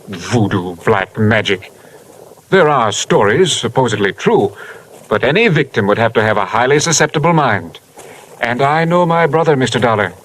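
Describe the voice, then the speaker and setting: An actor playing a formal, earnest doctor in a 1950s radio drama. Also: male voice, medium pitch, lively intonation, moderate pace, a bit bright, close to an everyday voice, rough recording. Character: proper, educated male doctor. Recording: old radio broadcast with hiss.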